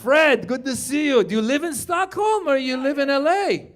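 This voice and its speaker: A man in his fifties doing a stand-up comedy set on a club stage, joking with the crowd in a loud, animated way and swearing freely. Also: very high-pitched, normal speed. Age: 50s. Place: comedy club stage before a live audience.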